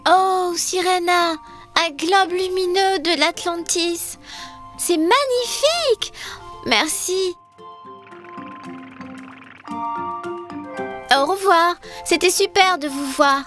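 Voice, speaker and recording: Young girl heroine, kids' cartoon, extremely high pitch, kind, expressive, music underneath. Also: slow. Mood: delighted. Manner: encouraging, expressive. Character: brave, kind, clever young girl adventurer. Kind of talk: children's cartoon dub.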